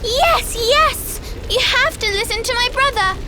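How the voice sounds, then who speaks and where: A woman's voice: very high voice, expressive, measured pace. A woman voicing a small, eager, urgent child in a video game cutscene. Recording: music behind the voice.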